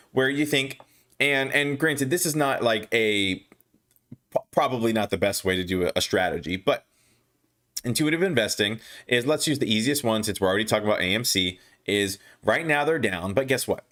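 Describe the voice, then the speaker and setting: A young man hosting a casual stock livestream, reading viewer comments aloud and answering them. He talks haltingly with many restarts and fillers, and his voice is going hoarse. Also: mid-range voice, fairly bright tone. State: losing his voice, clearing throat.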